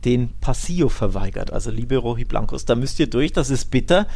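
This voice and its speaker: A man co-hosts a casual sports podcast. He is opinionated and emphatic, often repeating a phrase for weight. His voice sits at an ordinary middle pitch. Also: animated, fairly quick, creaky, darkish tone. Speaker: podcast co-host. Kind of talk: casual football podcast chat.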